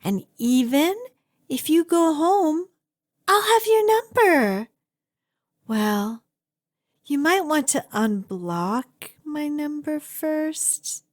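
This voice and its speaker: A woman's voice: high-pitched, sing-song, very slow, exaggerated voice. A woman playing an obsessive, doting, clingy kidnapper in an ASMR roleplay, with a gushing, coaxing delivery. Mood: hopeful, clingy. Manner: gushing, coaxing, dramatic emphasis.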